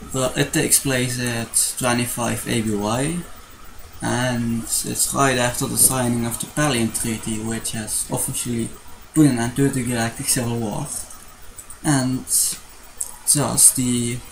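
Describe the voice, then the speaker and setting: A young Dutch-accented man talks slowly through a casual bedroom vlog, picked up by a desk webcam. His voice has a gravelly, fry-laden edge, and he keeps restarting sentences between fillers. A faint hiss sits under the recording. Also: mid-range voice, poor audio. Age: young adult. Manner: rambling, restarts often, hesitant fillers. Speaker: vlogger. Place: small bedroom with posters.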